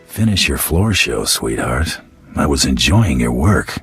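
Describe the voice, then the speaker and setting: An actor playing a cocky, hard-bitten bounty hunter in a video game, terse and dryly sardonic, with a gravelly voice. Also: male voice, extremely low pitch, very animated, unhurried, a bit bright, soft background music, heavily acted. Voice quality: gravelly, raspy. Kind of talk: video game cutscene acting.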